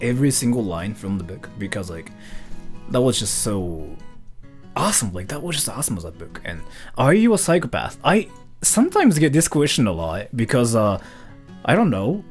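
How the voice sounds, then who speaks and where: A man from Japan, a streamer, chatting casually and answering viewer questions in a rambling, loose way. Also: medium pitch. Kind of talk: casual livestream chat, reading viewer questions.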